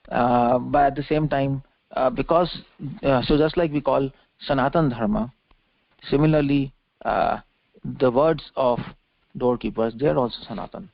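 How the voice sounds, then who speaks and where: Gravelly male preacher on a muffled line. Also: medium pitch, no room sound. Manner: didactic, repeats phrases for emphasis. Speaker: religious preacher giving a lecture. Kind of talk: extemporaneous scripture lecture with verse explanation. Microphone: conference call. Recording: muffled lo-fi conference call audio.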